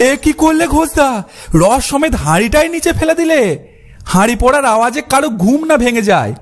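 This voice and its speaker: A man voicing a timid, whiny young boy sidekick in a comic cartoon, pleading and fretful, with drawn-out exclamations. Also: very high voice, very animated, slight background noise. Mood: panicked. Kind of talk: exaggerated comic cartoon dialogue. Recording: music under the voice.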